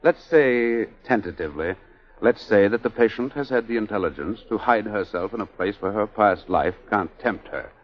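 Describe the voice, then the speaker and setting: An actor playing a gallant, gently teasing doctor in a measured 1940s radio drama style. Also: male voice, fairly low pitch, lightly acted. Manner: courtly, measured, wry. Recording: dull 1940s radio broadcast, music behind.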